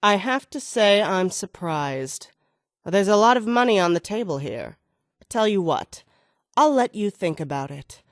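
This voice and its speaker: A woman's voice: mid-range voice, very animated, measured pace, dry recording, clean studio sound. An actress playing an ambitious, scheming female mayoral candidate in a video game, speaking with self-assured, oratorical delivery. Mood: brisk, persuasive.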